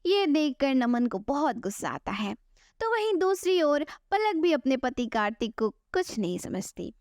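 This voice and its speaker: A woman's voice: high voice, sing-song, measured pace, darkish tone, dry recording, studio quality. A woman narrating a scripted moral bedtime story as the storyteller.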